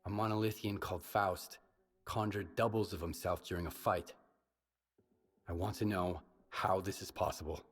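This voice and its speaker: A man playing a gruff, stoic survivor hero in a video game, blunt and husky. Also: deep voice, steady tone, normal speed. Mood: serious, probing.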